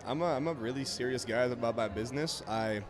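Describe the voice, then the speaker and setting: A man's voice: medium pitch, fast talker. A young man from Quebec whose first language is French, speaking in a casual, earnest and somewhat hesitant way as a guest in a ballpark broadcast booth. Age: young adult. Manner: earnest, hesitant, restarts sentences. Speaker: guest player. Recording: loud crowd behind.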